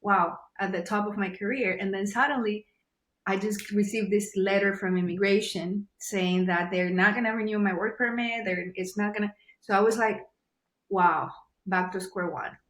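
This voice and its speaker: A woman with a Venezuelan Spanish accent speaks as a guest in a casual podcast interview. Spanish is her first language, and she speaks earnestly, pausing to find her words. Her voice bounces around a reverberant room. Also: mid-range voice, fairly even intonation, fairly bright tone. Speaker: guest, journalist.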